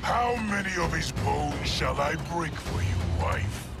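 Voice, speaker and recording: Gravelly, arrogant warlord emperor. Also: male voice, fairly high pitch, big pitch swings, a little slow, over loud music, full character voice. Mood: contemptuous. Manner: menacing, commanding, grandiose. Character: brutal, arrogant warlord emperor, cruel and boastful. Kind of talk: video game cutscene acting.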